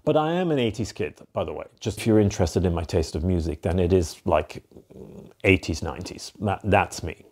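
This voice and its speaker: Low-voiced Flemish man chatting to camera. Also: animated, fairly quick. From Belgium (Flanders). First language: Dutch. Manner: chatty, filler-heavy, repeats "to be quite honest". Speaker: van life vlogger. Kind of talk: casual Q&A to camera. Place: cosy living room, indoors. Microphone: lapel mic.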